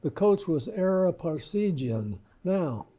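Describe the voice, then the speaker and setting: An older man, reminiscing casually as an interview guest in a halting, modest, rambling way. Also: high voice, sing-song, dark tone. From Lebanon, Ohio, United States.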